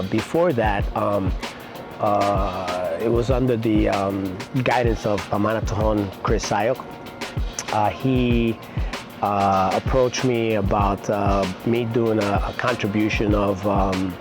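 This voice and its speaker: A middle-aged man, talking casually and haltingly in an interview as a martial arts instructor, with many pauses. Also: fairly low pitch. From Puerto Rico-born, raised in New York City.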